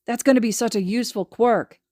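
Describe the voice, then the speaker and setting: A woman voicing a brash, mischievous, excitable young boy who exclaims in casual slang, in an audiobook. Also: a little high, a little fast, no echo, clean studio sound, voice pushed up, lightly acted. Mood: puzzled, envious. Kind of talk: fan fiction audiobook acting.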